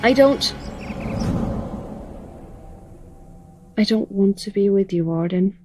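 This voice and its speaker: An actress plays a young woman of middling pitch in an audio drama, with music underneath. She is earnest and guarded, torn inside yet holding firm. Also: animated, normal speed, close to an everyday voice. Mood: guarded, pleading. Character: resolute, conflicted young woman resisting a tempter.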